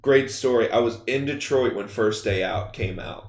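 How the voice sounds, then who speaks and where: A man's voice: mid-range voice, moderate pace. A young man in his twenties, a rapper chatting casually on a podcast, laid-back and rambling, swearing freely. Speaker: rapper guest. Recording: echoey room.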